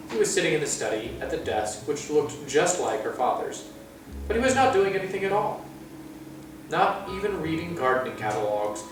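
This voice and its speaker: A man reading a children's story aloud as narrator, with measured, expressive phrasing. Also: mid-range voice. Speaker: storytime reader. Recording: echoey room, far from mic, music.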